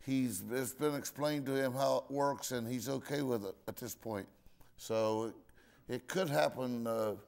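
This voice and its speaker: An older pastor speaks from the pulpit in a church, gathering prayer requests. His pace is slow and deliberate, and he pauses with fillers as he goes. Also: medium pitch, gravelly, a little mellow. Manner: unhurried, halting with fillers. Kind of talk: leading prayer requests in a service.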